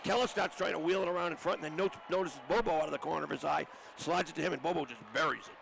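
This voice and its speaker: A man's voice: on the high side, rapid-fire, vocal fry, fairly bright tone. A man giving conversational hockey analysis as a color commentator, explaining plays informally to his broadcast partner. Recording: noisy arena broadcast, rink ambience behind.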